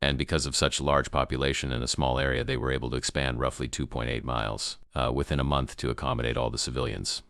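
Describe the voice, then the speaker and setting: A man playing a grave emergency news broadcaster in an amateur apocalypse broadcast, gravelly, solemn and halting in his delivery. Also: extremely low pitch, little pitch movement, fairly bright tone, dry recording, studio quality, heavily acted. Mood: somber. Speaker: news anchor.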